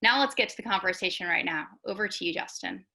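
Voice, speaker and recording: A woman moderating a video call, making brisk opening announcements before handing over. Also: mid-range voice, expressive, creaky, pushed and bright.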